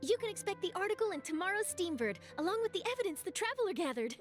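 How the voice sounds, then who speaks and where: Bubbly young game reporter, very high voice, sing-song pitch. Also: female voice, fast talker, soft background music. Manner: bubbly, excitable. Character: eager, chirpy young female journalist. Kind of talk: game cutscene voice acting.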